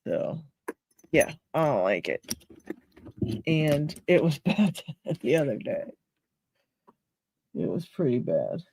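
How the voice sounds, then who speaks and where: A woman's voice: deep voice, sing-song, takes it slowly, creaky, long pauses, dark tone, breathy edge, low-quality recording. A woman with a Pittsburgh accent, hosting a casual live stream and chatting with viewers while she reads names from chat and laughs often. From Pennsylvania, USA. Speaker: livestream host.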